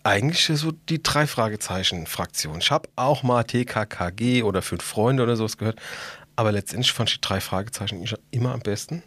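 Husky middle-aged man chatting casually. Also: fairly low pitch, a little fast, a bit bright, no echo. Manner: colloquial, slangy, enthusiastic. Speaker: podcast co-host. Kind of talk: casual podcast chat.

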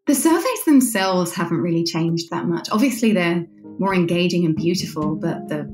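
A woman speaks conversationally as an interview guest, explaining a product. Her voice is on the low side, and the recording is noticeably roomy, with faint music behind it. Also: moderate pace, darkish tone.

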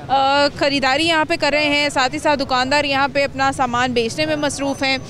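A woman's voice: sits high, steady tone, brisk, a bit bright. A young Pakistani woman working as a TV field reporter, interviewing people on the street into a handheld microphone. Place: crowded outdoor street market. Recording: busy outdoor market crowd noise.

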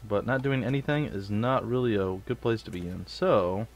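Stuffy-nosed man, low voice, gaming commentary. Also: normal speed. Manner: rambling, self-joking asides. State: stuffy and sniffly. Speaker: let's play host. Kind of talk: casual gameplay commentary.

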